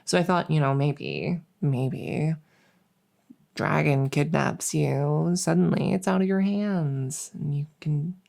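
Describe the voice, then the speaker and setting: Audio roleplay: young royal heiress voiced by a woman, unhurried and confiding, gravelly fry, halting delivery, world-weary. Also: low voice, mellow, full character voice. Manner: hesitant, halting, confiding, with trailing pauses. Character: flustered, world-weary young princess, heir to a throne. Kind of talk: intimate audio roleplay addressed to the listener.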